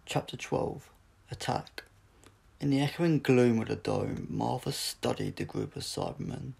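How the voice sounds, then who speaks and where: A man's voice: medium pitch, a little slow, gravelly, darkish tone, breathy edge. A man narrating an adventure audiobook from a script, with the occasional stumble as he reads aloud. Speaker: audiobook reader.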